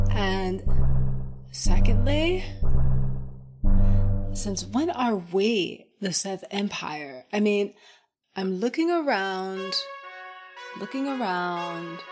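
A woman playing an indignant, sarcastic woman in an audio drama, with a halting, incredulous delivery. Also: mid-range voice. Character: indignant, sarcastic woman confronting someone who betrayed them.

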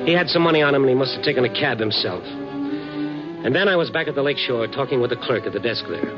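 A man's voice: medium pitch, very animated, quick pace, gravelly. An American man playing a tough, sardonic but caring newspaper reporter in 1950s radio drama, clipped and wry. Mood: brooding. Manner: clipped, wry, hard-boiled. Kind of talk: 1950s radio drama acting and first-person narration. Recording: dull vintage radio recording with music.

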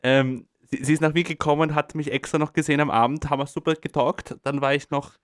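A man's voice: a little high, fairly even intonation, fast talker, smooth, no room sound, clean studio sound. A young man with a Viennese accent, co-hosting a podcast in casual, joking chat and often stumbling over his words. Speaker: podcast co-host.

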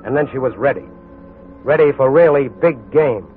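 An actor narrating a 1940s radio crime story in a solemn, dramatic, deliberate scripted style. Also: male voice, mid-range voice, expressive, faint music behind, lightly acted. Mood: suspenseful. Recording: narrow-band 1940s radio recording.

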